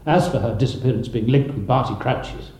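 Storybook government minister, older man, acted by the narrator: self-important bluster, mellow timbre, roomy sound. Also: medium pitch, a little fast, pitched below the performer's own voice, lightly acted. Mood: dismissive.

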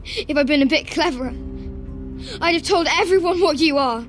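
A woman's voice: high voice, moderate pace, faint music behind, voice pushed up, lightly acted. A woman voicing an outspoken, fiery, clever teenage witch girl in an audiobook, with an emphatic, accusing manner. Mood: angry, accusing. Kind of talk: audiobook character dialogue.

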